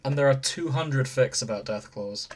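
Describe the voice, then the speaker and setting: A man's voice: mid-range voice, moderate pace, breathy edge. A man co-hosting a podcast, chatting casually in banter with friends. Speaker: podcast co-host.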